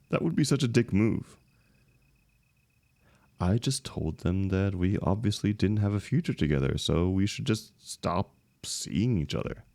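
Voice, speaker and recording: Very deep, gravelly young heartbreaker, acted by a man. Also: animated, a little mellow, no echo, full character voice. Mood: disappointed, casual. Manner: casual, halting, emotional, swinging emphasis. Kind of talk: intimate one-sided audio roleplay.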